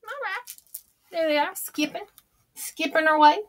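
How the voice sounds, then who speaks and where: A woman's voice: extremely high pitch, measured pace. A woman voicing a folksy old grandma who reads a storybook aloud in a chatty, homespun, theatrical way. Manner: chatty and theatrical, gasping and exclaiming.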